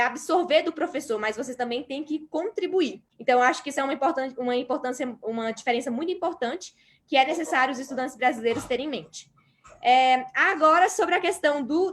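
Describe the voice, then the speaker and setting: Brazilian woman, grad student panelist, high voice, earnest and a bit halting. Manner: earnest, explanatory, frequent hesitations. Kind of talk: panel talk answering a moderator.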